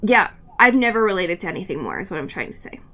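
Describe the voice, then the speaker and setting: A woman's voice: on the low side, sing-song, fast, pushed and bright. A woman co-hosting a podcast in casual comedic banter, giggly and given to theatrical exaggeration. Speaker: podcast co-host.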